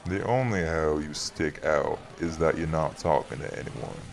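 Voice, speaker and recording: An extremely low-voiced man , played by an actor, with gravel in his tone. He is a courteous figure of authority, protective yet firm, speaking in a measured, folksy way, as in an audio drama. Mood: welcoming. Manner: folksy, measured, addresses listener directly. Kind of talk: audio roleplay acting.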